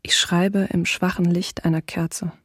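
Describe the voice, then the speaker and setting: An actress playing a fearful, hushed diarist in a besieged city, in a documentary radio drama. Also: female voice, on the low side, little pitch movement, unhurried, slightly rough, no echo, clean studio sound. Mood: hushed dread.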